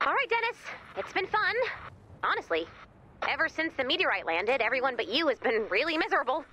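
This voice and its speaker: An actress playing a brisk, wry, easily exasperated woman in command of a base in an animated video game, with dry sardonic delivery. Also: high-pitched, slight background noise, full character voice. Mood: placating. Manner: dry, sardonic, hesitant when flustered.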